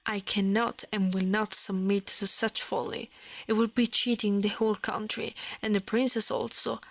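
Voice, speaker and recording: A woman's voice: mid-range voice, level tone, moderate pace, vocal fry, no echo. A woman voicing an indignant, principled learned man in a storybook, formal and protesting.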